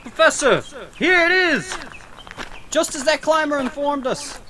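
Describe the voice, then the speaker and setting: Faint outdoor noise sits behind a male actor playing an eager expedition member. The voice is bright and forward, made for a horror manga dub. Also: very high voice, expressive, a little slow, full character voice. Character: eager expedition member exploring a mountain fault.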